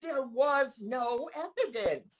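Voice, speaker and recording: A woman playing an aggrieved, bewildered disgraced crony with a husky voice in a comedic table read. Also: high-pitched, lively intonation, slow pace, muffled recording, exaggerated voice. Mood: exasperated. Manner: indignant, emphatic, dramatic. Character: Rudy, aggrieved, bewildered disgraced man trapped in woman's body.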